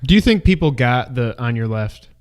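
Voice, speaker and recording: A man co-hosting an unscripted movie review, chatting casually and retelling his reactions in an animated, exclamatory way. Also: mid-range voice, moderate pace. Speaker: co-host of a movie review show.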